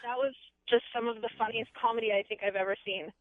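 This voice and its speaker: A female caller with a fairly high voice comes through a thin, tinny phone line. She speaks eagerly and gushes like a devoted fan, dry and close. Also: fairly even intonation, fast talker, dry recording. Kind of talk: call-in to radio show.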